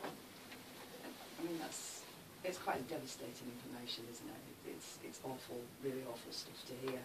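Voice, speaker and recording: A woman's voice: rumbling low, measured pace. A woman interviewing on radio in a conversational, questioning way, often turning statements into questions. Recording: distant mic, clearly noisy background.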